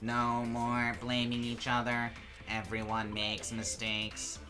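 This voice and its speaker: A man voicing an earnest peacemaking robot bear with slow, halting delivery in an amateur game dub. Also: medium pitch, monotone, over music, lightly acted. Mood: solemn. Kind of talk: amateur fandub of an anime mystery game.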